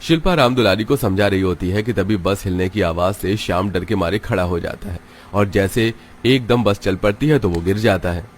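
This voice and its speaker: A man's voice: low-pitched, moderate pace. A man reading scripted story narration for a cartoon.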